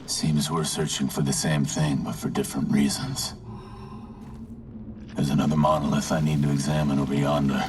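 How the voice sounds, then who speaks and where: A man's voice: extremely low pitch, level tone, moderate pace, noisy background. A man playing a cryptic, solemn altered wanderer in a video game, speaking in a portentous, formal way. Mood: grave, guarded. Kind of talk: video game cutscene acting.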